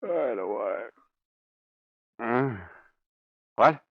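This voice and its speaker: An actor playing a frightened, bewildered bank employee in a 1930s radio drama, faltering and pleading in panic. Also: male voice, medium pitch, expressive, extremely slow, no room sound, full character voice. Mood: groggy, bewildered. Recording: dull old 1930s radio recording.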